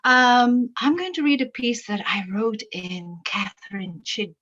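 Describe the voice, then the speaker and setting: Woman from Fiji reading poetry, very slow and deliberate, lo-fi sound. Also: fairly high pitch, a bit bright. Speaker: poet reading her work.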